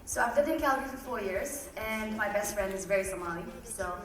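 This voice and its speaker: A woman's voice: medium pitch, lively intonation, brisk. A young woman giving a candid personal speech to an audience, chatty, with rhetorical questions. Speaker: guest speaker. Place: event hall. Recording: roomy hall from a distance.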